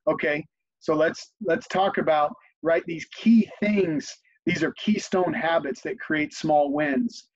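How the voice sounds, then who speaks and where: A man's voice: a little high, takes it slowly. A man in his forties, a company CEO and guest, talking reflectively on a video call and often repeating words as he thinks. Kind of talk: conversational interview. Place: home office on a video call. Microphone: video call webcam. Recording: dull, lo-fi video call audio.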